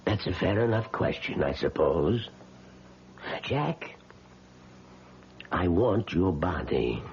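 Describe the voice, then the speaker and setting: Old rich businessman, deep voice, coaxing and bossy, dull hissy old radio sound. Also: sing-song, darkish tone, full character voice. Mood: calculating, insistent. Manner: measured, coaxing, condescending. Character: frail elderly rich businessman, smooth, commanding, calculating. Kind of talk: old-time radio drama acting.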